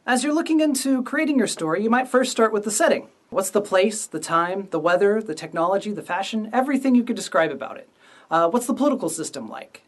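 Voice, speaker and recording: A man's voice: high voice, lively intonation, quick pace, distant mic. A man hosting a casual writing lesson as the instructor. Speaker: writing instructor and series host.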